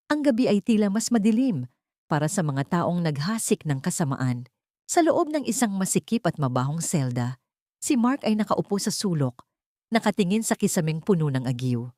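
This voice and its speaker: A woman narrating a dramatic audiobook story in a steady storytelling manner. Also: lowish voice, normal speed, dry recording, clean studio sound.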